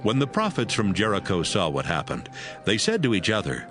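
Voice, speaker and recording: A man narrates a dramatized scripture audiobook. His low voice moves with plenty of expression. Music is clearly present beneath the reading. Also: huge pitch swings, brisk.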